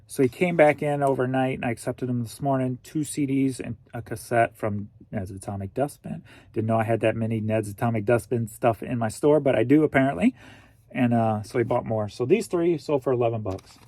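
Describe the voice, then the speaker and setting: A man's voice: mid-range voice, a little fast, darkish tone, no echo. A man in his forties from Missouri, chatting casually and jokingly to himself while packing online orders. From St. Louis, Missouri. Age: 40s. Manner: goofy, jokey, talks to himself. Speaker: online reseller.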